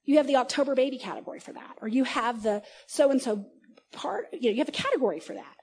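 A woman in her forties giving a casual, conversational sermon at a church service, animated, often laughing and restarting her sentences. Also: high voice, quick pace, slight background noise. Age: 40s. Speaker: preacher.

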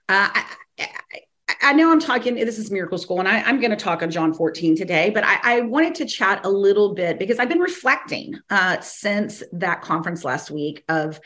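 A woman hosting a teaching podcast, slightly hoarse, talking in a rambling casual way with many fillers, restarts and short laughs. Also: mid-range voice, sharp tone. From American South. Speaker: podcast host and ministry teacher.

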